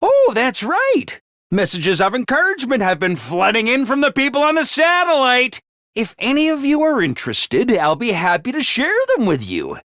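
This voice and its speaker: A man's voice: very high voice, huge pitch swings, normal speed, a bit bright, no echo, studio quality, full character voice. A man voicing a chipper, cheerfully ruthless commanding officer of child soldiers in a video game, with bouncy drill-sergeant cheer over cold pragmatism. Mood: cheery rallying. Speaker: voice actor for a video game character. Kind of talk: game character voice lines.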